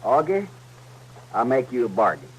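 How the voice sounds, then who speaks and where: An actor playing a scheming Western outlaw leader in an old-time radio drama, with a rural American West accent and a folksy, commanding way of talking. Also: male voice, mid-range voice, sing-song, normal speed, lightly acted, low-quality recording. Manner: folksy, commanding drawl with tag questions. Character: domineering, scheming Western outlaw leader bossing his slow partner. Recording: old radio recording with faint hum and noise.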